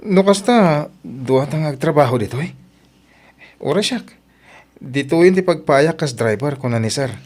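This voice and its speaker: A man with a Filipino accent playing an inquisitive, excitable driver and bodyguard in an audio drama, full of questions. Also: a little high, normal speed, long pauses.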